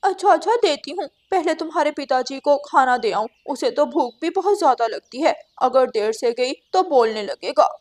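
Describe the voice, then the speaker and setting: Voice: high-pitched, lively intonation, normal speed, hardly any pauses. An actor voicing a busy female crow, a mother and wife in a children's cartoon, fussing. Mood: hurried, placating. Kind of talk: Hindi children's cartoon dialogue.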